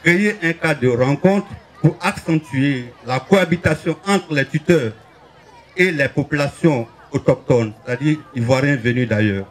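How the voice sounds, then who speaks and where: Male spokesman, slightly high voice, formal read-aloud public address. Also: moderate pace, some chatter behind. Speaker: spokesman at a community dialogue. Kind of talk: formal reading of written recommendations.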